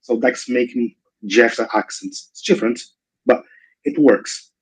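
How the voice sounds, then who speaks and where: A man's voice: mid-range voice, unhurried, husky, low-quality recording. A man in his forties from Brazil, speaking English with a mixed Brazilian, British and American accent, giving a seminar over a video call with many fillers and restarts. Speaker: invited guest speaker. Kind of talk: online seminar talk.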